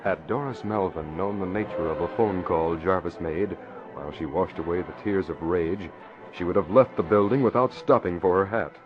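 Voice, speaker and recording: A man's voice: deep voice, normal speed, music in the background, natural voice. A man narrating a 1940s radio mystery in a scripted, dramatic announcer style. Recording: dull vintage radio broadcast.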